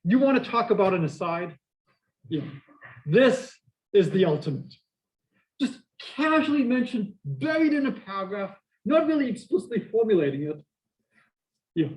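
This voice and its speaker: A middle-aged man with a Boston accent giving a university math lecture, lively and full of asides and questions to the class. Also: high voice, moderate pace, poor audio. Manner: energetic, prone to asides and questions to students. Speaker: math professor.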